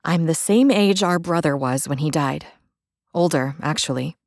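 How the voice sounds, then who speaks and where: Woman playing a stubborn, earnest younger sister, low-voiced. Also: normal speed, no room sound, clean studio sound. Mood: wistful hurt. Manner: earnest, challenging.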